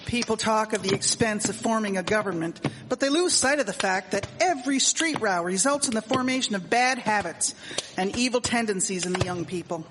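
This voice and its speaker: A woman's voice: mid-range voice, lively intonation, brisk, lightly acted. A woman playing an upright, concerned townswoman in a live radio drama. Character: concerned, upright townswoman worried about lawlessness. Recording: echoey hall, background noise and clicks.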